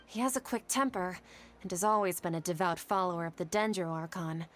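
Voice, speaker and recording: A woman's voice: fairly low pitch, fairly quick, fairly bright tone, lightly acted. A woman playing an earnest, anxious young female scholar in an anime video game, easily flustered. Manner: earnest, flustered, stammering under pressure.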